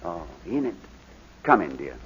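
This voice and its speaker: An actor playing an anguished, edgy baronet in a 1940s radio drama, strained and stammering when agitated. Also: male voice, medium pitch, sing-song, fairly quick, slight background noise, close to an everyday voice. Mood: tender, pained. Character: anguished, edgy English baronet accused of imposture. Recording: muffled old 1940s radio recording.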